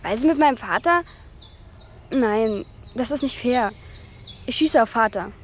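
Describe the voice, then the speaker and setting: Teenage village girl for radio play: high voice, naive, insistent, halting delivery. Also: lively intonation, slight background noise. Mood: confused, defensive. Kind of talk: radio drama dialogue.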